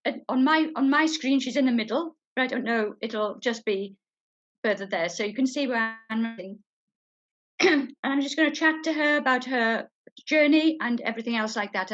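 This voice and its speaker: High-voiced woman hosting, dull sound. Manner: friendly, halting, clears throat. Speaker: interview host. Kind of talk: hosting a church breakfast interview. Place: church hall.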